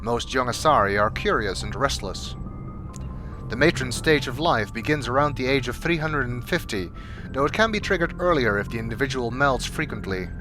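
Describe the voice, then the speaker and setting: A man delivers scripted, encyclopedia-style narration for a video game codex. His voice sits at a medium pitch and he reads in a measured, explanatory way. Music plays clearly behind him throughout. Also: animated. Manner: measured, expository reading. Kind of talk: scripted narration, read aloud. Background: clear background music.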